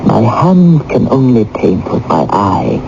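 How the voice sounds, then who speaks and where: An actor playing an earnest, courtly court painter in 1980s radio drama, speaking in a formal, expressive, sometimes hesitant manner. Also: male voice, lowish voice, slow, gravelly, noisy background, full character voice. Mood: wistful narrating. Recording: dull vintage radio broadcast with music.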